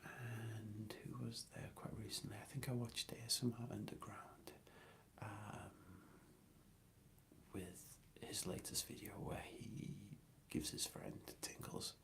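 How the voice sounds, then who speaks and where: A man speaks in a hushed ASMR whisper with a crackly fry underneath. His delivery is very slow and hesitant, as he thinks his way gently through answers to questions. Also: on the low side, fairly even intonation. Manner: hesitant, thoughtful, soft-spoken.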